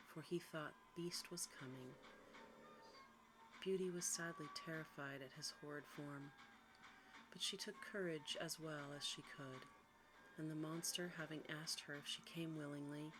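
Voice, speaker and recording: Low-pitched woman reading a sleep story. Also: rough recording. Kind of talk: quiet ASMR sleep-story narration. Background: music.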